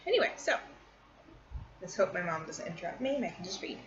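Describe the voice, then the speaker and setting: A woman's voice: lowish voice, very animated, fast talker. A woman vlogging casually from her bedroom, rambling in a scattered, informal and self-deprecating way. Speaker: vlogger and amateur short story writer. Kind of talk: casual rambling vlog chat. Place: small bedroom at home, indoors. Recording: muffled, noisy room with fan running. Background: running fan noise.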